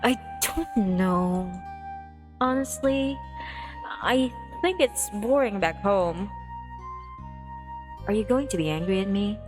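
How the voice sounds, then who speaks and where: Lady doing a shy honest young guy from a visual novel, takes it slow, faint music. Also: medium pitch, expressive. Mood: hesitant. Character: hesitant, candid young male visual novel protagonist.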